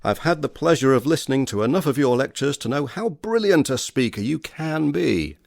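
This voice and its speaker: Man doing older victorian gent, fatherly n in charge, croaky voice, lots of up and down, theatre style. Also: mid-range voice, big pitch swings, normal speed, darkish tone, no echo, studio quality. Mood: encouraging, fatherly. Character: paternal, authoritative older Victorian gentleman, college chairman. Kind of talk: stage drama acting.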